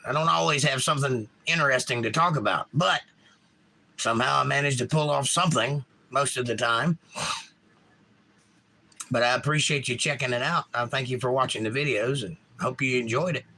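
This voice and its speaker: Bright-voiced man rambling on a stream. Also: medium pitch, a little fast, vocal fry, low-quality recording. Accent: Georgia. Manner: rambling, reads chat comments aloud. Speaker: live stream host. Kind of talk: casual live stream chat.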